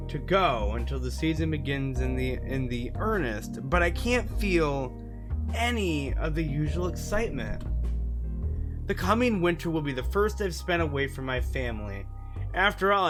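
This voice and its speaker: Higher-voiced man reading a game aloud. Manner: stumbles over words while reading aloud. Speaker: gaming YouTuber. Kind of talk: let's play commentary, reading game text aloud. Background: background music.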